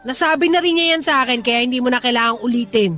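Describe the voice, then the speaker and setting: Jack, a prickly tomboy. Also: female voice, high-pitched, fast, pushed and bright, faint music behind. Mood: scornful. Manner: curt, scornful retorts. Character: brusque tomboyish young woman, prickly and irritable. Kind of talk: radio drama acting.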